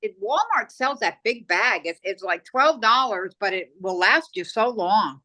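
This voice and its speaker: A woman's voice: mid-range voice, expressive, unhurried, sharp tone. A woman who is hard of hearing, a guest chatting casually and supportively on a cooking show. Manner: supportive, with brief backchannel replies. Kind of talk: casual chat.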